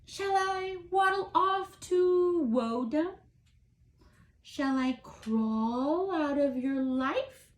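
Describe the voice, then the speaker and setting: A woman voices a tender, lovesick male turtle from a storybook poem. He pleads in a high, sing-song voice that rises and falls widely. The room around him rings with echo. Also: expressive, extremely slow, full character voice. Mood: pleading. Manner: sweet, pleading and plaintive.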